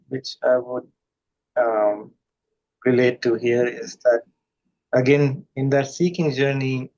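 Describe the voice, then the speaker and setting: A man from India, a guest, talking thoughtfully and reflectively with many pauses and fillers. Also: medium pitch, darkish tone, muffled recording. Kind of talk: reflective conversation.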